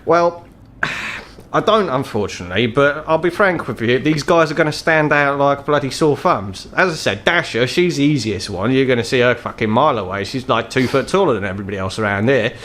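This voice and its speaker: A man's voice: mid-range voice, animated, fairly quick, fairly bright tone, a little hiss or hum, close to an everyday voice. A man voicing an embittered, crippled, ageing troll fixer in a tabletop game, with a British accent and a blunt, world-weary, sweary manner. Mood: blunt, conspiratorial.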